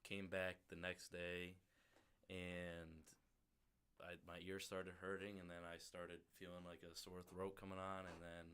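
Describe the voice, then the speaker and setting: A young man co-hosts a casual podcast with a stuffy, congested voice. He talks low and keeps his manner dry and offhand. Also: monotone, measured pace, a bit bright, clear, resonant tone. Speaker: podcast co-host.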